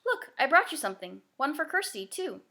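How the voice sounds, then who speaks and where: A woman's voice: sits high, big pitch swings, fairly quick, vocal fry, sharp tone, pitched above the performer's own voice, heavily acted. A woman voicing a kindly, affectionate young male family friend in a children's novel, explaining things seriously and reassuringly. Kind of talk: children's novel read-aloud.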